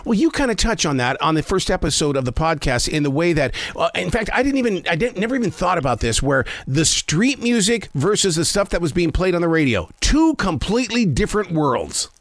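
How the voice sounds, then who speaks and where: An American man hosting a casual interview in an animated way, acting out the reactions he quotes. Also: sits high, a little fast, hardly any pauses, no room sound. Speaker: interview host, mobile DJ.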